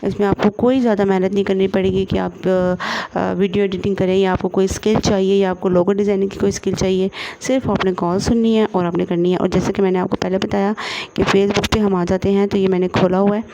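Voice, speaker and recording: A woman with a Pakistani accent presenting an informal screen-share tutorial, halting often and leaning on repeated filler phrases. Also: medium pitch, flat delivery, muffled recording, noisy background.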